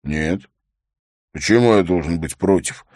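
A man's voice: sits low, measured pace, a little mellow, dry recording, studio quality. A man voicing a reserved, sombre investigator in an audiobook, asking questions in a restrained, serious way. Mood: careful questioning.

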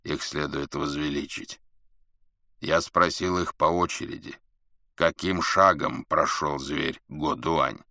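Man as a commanding, shrewd khan, very low voice. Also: fairly even intonation, pushed and bright, no echo, studio quality, heavily acted. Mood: shrewd, decisive. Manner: measured, deliberate, authoritative. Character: shrewd, commanding Mongol khan, calm and skeptical.

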